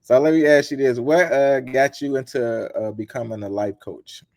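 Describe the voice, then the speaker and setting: A man hosting an interview, his voice gravelly with fry. He talks in a relaxed, easygoing way, and the recording sounds dry and close. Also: mid-range voice, normal speed, no room sound. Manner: laid-back, many "uh" fillers, short affirmations. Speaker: interview host.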